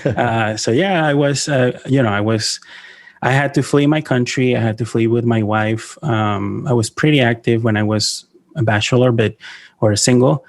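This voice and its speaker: Venezuelan-born man, halting podcast guest. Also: mid-range voice. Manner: halting, many fillers and restarts, laughs often. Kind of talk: casual interview talk.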